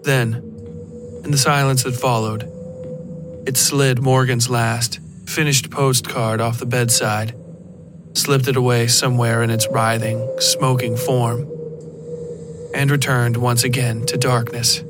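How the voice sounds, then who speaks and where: A man narrates scripted horror fiction in a medium-pitched voice. His delivery is deliberate and literary, like a storyteller reading prose aloud. Prominent music runs behind the words. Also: flat delivery. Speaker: podcast narrator.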